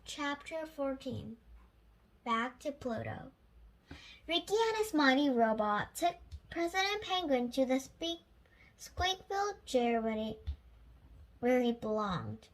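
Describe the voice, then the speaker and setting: Slow, high-voiced child reading aloud. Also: sing-song, rough recording. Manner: halting, self-correcting reading.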